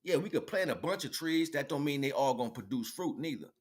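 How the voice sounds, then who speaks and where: A man's voice: on the high side, animated, brisk. A man giving an improvised spiritual, motivational livestream talk in an emphatic, preachy way, repeating phrases for effect.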